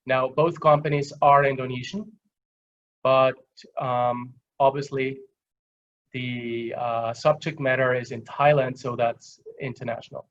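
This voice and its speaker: A man with an Austrian accent giving a webinar lecture, explaining with simple examples in a halting, conversational way. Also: medium pitch, steady tone. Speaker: guest lecturer. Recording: dull, lo-fi online call audio.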